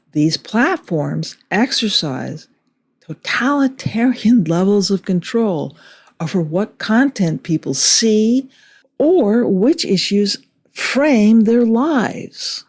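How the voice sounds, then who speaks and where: Woman reading out a commentary script, really slow and measured, kinda low voice. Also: a little mellow. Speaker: video commentator. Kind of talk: scripted political commentary narration.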